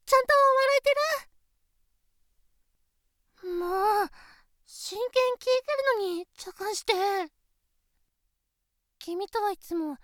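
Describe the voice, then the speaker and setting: A woman voicing a nervous, earnest teenage girlfriend in a situation voice drama, fretting in soft close-up talk with hushed asides. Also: extremely high pitch, expressive, slow, long pauses, no echo, full character voice. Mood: flustered, fretting. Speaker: voice actress.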